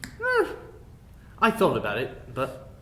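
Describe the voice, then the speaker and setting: A man's voice: on the high side, wildly swooping pitch, slow pace, fairly bright tone, full character voice. A man playing a friendly, casual dwarven drifter and ladies' man in a tabletop game. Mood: friendly, understanding. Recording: roomy echo, clear background noise.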